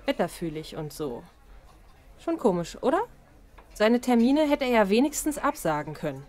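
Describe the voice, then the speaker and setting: Lively, high-voiced feisty bartender played by a woman. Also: moderate pace. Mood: gossipy. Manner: gossipy, blunt, colloquial. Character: nosy, feisty young female bartender. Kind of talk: audio drama acting.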